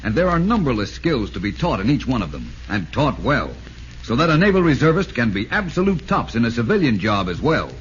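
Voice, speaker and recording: A man's voice: mid-range voice, big pitch swings, fairly quick. A man reading scripted announcements in 1940s radio style. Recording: dull, lo-fi old radio, faint noise.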